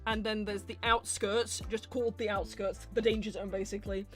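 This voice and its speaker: A woman's voice: mid-range voice, little pitch movement, fairly quick, a bit bright, faint music behind. A woman chatting casually and animatedly as a show host, reading out audience questions and thinking through her answers aloud. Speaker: host and voice actor of a live character Q&A show.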